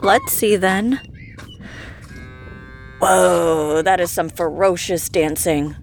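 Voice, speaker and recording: A woman's voice: fairly low pitch, slow, gravelly, a little hiss or hum. An actress in a comedy audio drama playing a skeptical, practical woman with a dry, matter-of-fact manner. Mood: irritated.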